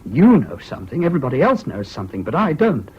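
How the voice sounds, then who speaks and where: An actor in a British radio drama playing a troubled, excitable, self-doubting young man with an English accent and an earnest manner. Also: medium pitch, fast talker, husky, heavily acted. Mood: dejected, self-doubting. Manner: hesitant, earnest, swinging between gloom and giddy excitement. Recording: dull, hissy old radio recording.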